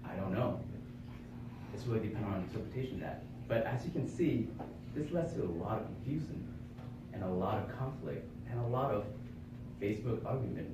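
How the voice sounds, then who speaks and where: A young man gives a prepared speech to a small audience, working through examples and rhetorical questions in an earnest, explanatory way. His voice sits on the low side. The camera is far away, so the room echo is heavy and background noise comes through clearly. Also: expressive, brisk. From born in Vietnam, raised in Florida. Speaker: club speaker.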